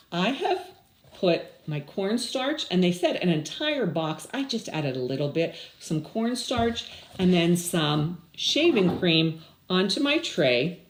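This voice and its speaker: An older woman hosting story time for children, reading and chatting expressively with questions for young listeners. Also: on the low side. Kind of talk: picture-book read-aloud and chatting to children. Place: small indoor room with blinds.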